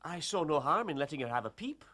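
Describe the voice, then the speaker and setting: An actor playing a sheepish, deferential police constable in a period drama, full of folksy excuses. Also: male voice, on the high side, sing-song, quick pace, bright, forceful tone, heavily acted. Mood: sheepish, explaining. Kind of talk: period detective drama acting.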